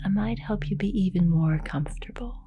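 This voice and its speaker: A woman leading a guided meditation in a soft, breathy near-whisper, calm and gentle, with long unhurried pauses. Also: medium pitch, highs cut off. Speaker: yoga nidra meditation guide. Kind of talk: guided meditation, slow and soothing narration.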